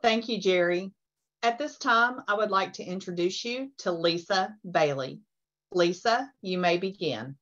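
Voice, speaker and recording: A woman's voice: mid-range voice, measured pace, a bit bright. A woman moderating a virtual town hall, giving brief, polite introductions of each speaker. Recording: dull, distant sound.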